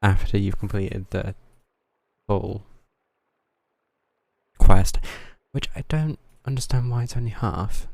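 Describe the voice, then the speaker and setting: A man's voice: on the low side, slow, creaky, long pauses, darkish tone, no echo. A man casually commentating on his live gameplay, thinking aloud in a musing, rambling way. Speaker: video game streamer.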